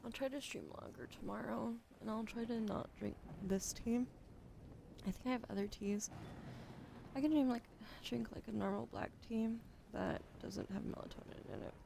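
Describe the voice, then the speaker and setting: A young woman streaming ASMR, drowsy and soft-spoken, slipping into whispers as she chats. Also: fairly high pitch, normal speed, vocal fry, background noise. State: sleepy. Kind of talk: sleepy ASMR stream chat.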